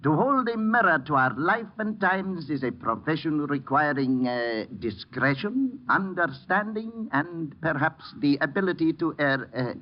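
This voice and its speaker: An actor in an old radio drama playing an aging actor who poses as a courtly European count, speaking in ornate, measured phrases. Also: male voice, a little high, animated. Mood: courtly, guarded. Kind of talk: 1940s-1950s radio acting. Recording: muffled old radio recording with noise.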